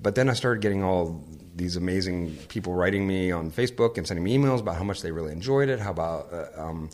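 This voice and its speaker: Low-voiced man, relaxed and chatty. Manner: relaxed, chatty, laughs often. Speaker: podcast host and venue sound manager, interview guest. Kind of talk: casual interview conversation.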